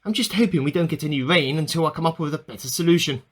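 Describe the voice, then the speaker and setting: A man's voice: a little high, fast talker, husky. A man playing an eccentric amateur scientist who earnestly records a self-important secret diary in a radio play. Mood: matter-of-fact. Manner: earnest, self-important, musing aloud in dated log entries.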